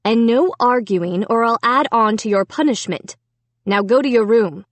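A woman's voice: a little high, normal speed, dry recording, lightly acted. A woman voicing a stern mother who scolds her children firmly and sharply in a storybook. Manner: firm, sharp, commanding.

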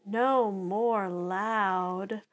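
A middle-aged woman reading a children's picture book aloud to preschoolers in an expressive, deliberate storytelling manner. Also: medium pitch. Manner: animated, deliberate storytelling with dramatized sound words.

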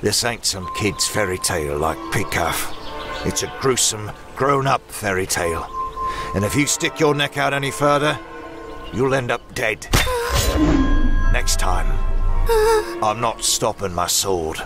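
Man acting as a grim, low-voiced swordsman. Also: animated, a little slow, pauses often, loud music behind the voice. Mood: stern, dismissive. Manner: gruff, curt, scornful. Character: grim, cynical, battle-hardened male swordsman, harsh and blunt. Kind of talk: dramatic comic dub acting.

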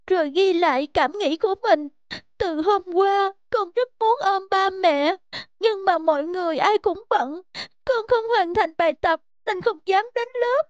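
Casting: timid little cartoon schoolgirl, sad, hesitant, very high pitch, dry sound. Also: dry recording, lo-fi sound. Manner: hesitant, meek. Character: small schoolgirl, timid, sad, longing for affection. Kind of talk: cartoon dialogue.

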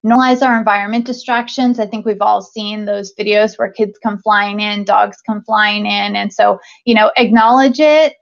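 A woman in her thirties presents to a conference audience over a video call, in a loose, conversational way full of ums and restarts. Her voice carries a noticeable gravelly fry at a middling pitch. The sound is dull and lo-fi, as webcam audio often is. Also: fairly even intonation, normal speed, hardly any pauses. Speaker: conference speaker, talent acquisition leader. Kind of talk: conference talk over slides.

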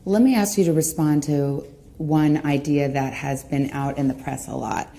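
Low, gravelly woman interviewing. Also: normal speed, mellow. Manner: direct, probing. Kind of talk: TV interview question.

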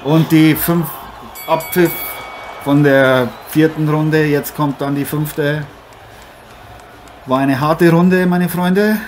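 A man's voice: a little high, steady tone, takes it slowly, long pauses. A man playing a brash, chummy boxing figure in an improvised mock sports radio play, leaning on repeated catchphrases. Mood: excited, chummy. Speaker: boxing commentator. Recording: clearly noisy amateur recording.

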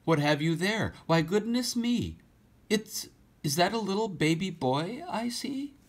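A man voicing an eager little girl of almost nine in a storybook, talking in a bright, chatty way. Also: sits high, sing-song, measured pace, voice pushed up, exaggerated voice. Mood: chirpy. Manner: bright, chatty, feigning innocence.

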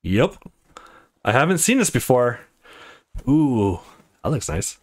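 A man's voice: mid-range voice, big pitch swings, takes it slowly, slightly rough. A man giving casual, slangy let's play commentary with some swearing. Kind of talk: casual gaming commentary, reading dialogue aloud.

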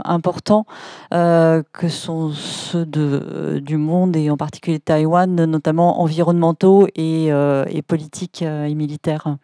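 A woman asks a formal question from the audience in a low, mellow voice. Her tone stays flat, and she pauses often with polite fillers. The sound is dry. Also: dry recording. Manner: polite, hesitant with frequent fillers. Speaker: audience member asking a question.

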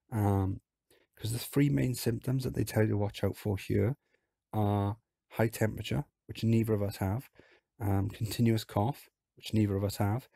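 A man with a hoarse, croaky voice from illness, chatting casually as he talks through a theme park game. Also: fairly low pitch, no echo, clean studio sound. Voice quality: husky, croaky. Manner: relaxed, chatty, explains as he plays. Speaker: game video host.

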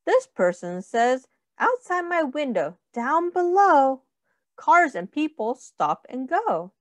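A middle-aged woman reads a picture book to small children in a high, very animated voice. She goes slowly, in simple phrases, pausing to put questions to her listeners. The sound is close and dry. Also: no echo. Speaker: early childhood teacher reading aloud.

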